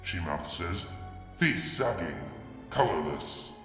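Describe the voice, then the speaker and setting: A man reading fan fiction aloud, narrating in the first person with brooding, deliberate delivery and sudden drops to a hush. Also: deep voice, lively intonation, faint music behind. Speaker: fanfiction reader. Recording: reverberant room with long echo.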